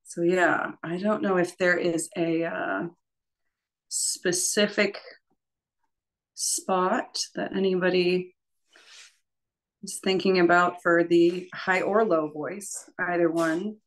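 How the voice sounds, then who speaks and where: Female session leader, lower register, conversational teaching, stop-and-start phrasing sprinkled with small laughs. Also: steady tone. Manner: halting, thinking aloud, with frequent chuckles. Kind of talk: informal teaching discussion in an online session.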